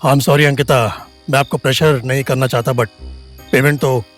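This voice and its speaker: A man playing a smooth, pushy, self-important doctor in an audio drama, with an Indian accent. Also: mid-range voice, steady tone, moderate pace, faint music behind, lightly acted. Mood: sympathetic. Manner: persuasive, self-important, mixes Hindi with English. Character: smooth, pushy male doctor, outwardly kind.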